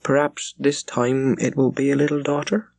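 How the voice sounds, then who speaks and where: A man's voice: medium pitch, little pitch movement, moderate pace, creaky, a little mellow, pitched above the performer's own voice. A man voicing a tender, affectionate young wife of a naval officer in an audiobook. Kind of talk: audiobook character voice.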